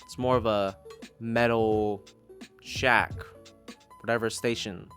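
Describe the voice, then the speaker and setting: A man's voice: fairly low pitch, fairly even intonation, slow pace, fairly bright tone, faint music behind. A man giving a casual, profanity-laced review in an emphatic, rambling, irreverent manner. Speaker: podcast reviewer.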